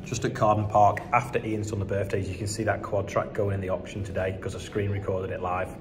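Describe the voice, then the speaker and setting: A man's voice: fairly low pitch, little pitch movement, a little fast. Man, English accent, casual vlog commentary, outdoors, heavy background noise. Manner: chatty, offhand remarks while walking around. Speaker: farm vlogger. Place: outdoors at a machinery auction yard.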